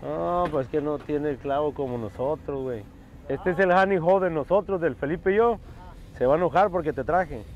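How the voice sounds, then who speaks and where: A man's voice: a little high, quick pace, highs cut off. A man with a Mexican accent, a native Spanish speaker, chatting casually as a fishing guide out on a boat. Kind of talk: casual chat while guiding. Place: outdoors on a boat at sea. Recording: faint outdoor boat noise.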